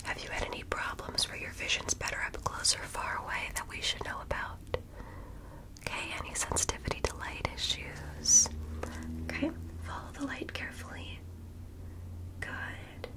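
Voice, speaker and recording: A woman in a soft, high, airy whisper, playing a caring nurse in an ASMR exam. She keeps her voice hushed and asks gently before each step, calling the listener darling. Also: poor audio. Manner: gentle, reassuring, asks permission often, calls listener darling. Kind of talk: whispered ASMR medical roleplay.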